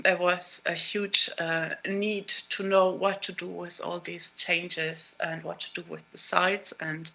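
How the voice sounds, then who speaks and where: A woman's voice: on the low side, creaky, a bit bright, low-quality recording. A middle-aged woman, not a native English speaker, giving a keynote conference presentation with many hesitations and fillers. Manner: hesitant, many ums and uhs. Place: conference hall.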